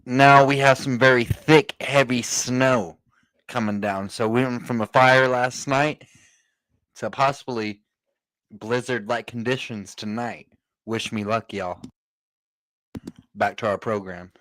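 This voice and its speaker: A man's voice: medium pitch, fairly even intonation, takes it slowly, pauses often, fairly bright tone, no echo, low-quality recording. A man hosting a live stream, making a casual announcement to listeners. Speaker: livestream host.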